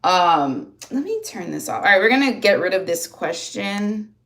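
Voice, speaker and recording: A woman in her thirties hosts a livestream, chatting loosely with her viewers and drifting between thoughts with plenty of fillers and restarts. Her voice sits at a middle pitch and rings off the walls of an echoey room at home. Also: sing-song, moderate pace, a bit bright. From upstate New York, USA. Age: 30s. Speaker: livestream host. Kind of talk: casual livestream chat reading comments.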